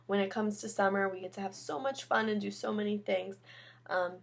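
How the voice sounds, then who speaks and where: A woman teacher reading a picture book aloud, pausing to explain and comment on the pictures as she goes. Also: mid-range voice, steady tone, vocal fry. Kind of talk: storybook read-aloud with chatty asides.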